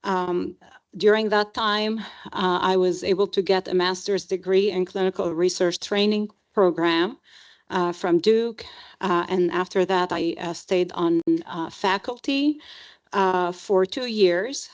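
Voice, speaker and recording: Unhurried woman, hesitant speaker. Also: lowish voice, steady tone, highs cut off. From Lebanon. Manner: hesitant, frequent ums and uhs. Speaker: neurologist panelist. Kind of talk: conference panel talk.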